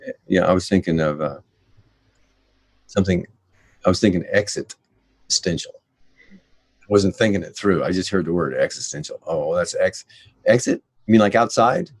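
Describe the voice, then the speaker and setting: A man in casual conversation, telling a story with jokes and drawn-out exclamations. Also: low-pitched, dry recording.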